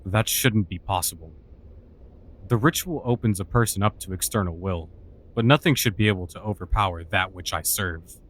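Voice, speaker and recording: A man's voice: deep voice, normal speed, fairly bright tone. An actor playing a scornful, exasperated male warlock in a fantasy audio drama, scolding and sarcastic. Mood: wary, annoyed. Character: irritable, scornful male dark magician and warlock. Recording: music behind the voice. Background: music.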